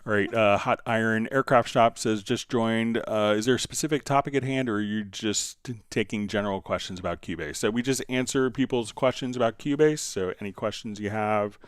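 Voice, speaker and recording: A man's voice: lowish voice, brisk, hardly any pauses, clean tone, dry recording, studio quality. A man hosting a casual live Q&A, conversationally reading out viewer comments and answering them. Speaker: livestream host answering software questions.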